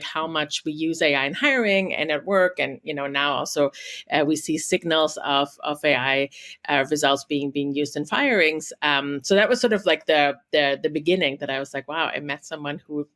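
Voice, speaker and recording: A woman's voice: sits low, fairly bright tone. A woman in her thirties or forties from Germany with a German accent, chatting as an interview guest from her home office, with lots of fillers and restarts. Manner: chatty, many fillers and restarts, laughs often. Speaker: guest journalist and author. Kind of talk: conversational interview.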